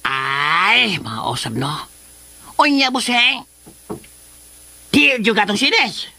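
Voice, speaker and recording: An actor with a Filipino accent playing a villain's exasperated, chiding sidekick in a radio drama, with a thin, sharp voice. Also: male voice, high-pitched, very animated, slow pace, no echo, exaggerated voice. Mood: teasing. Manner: chiding, grumbling.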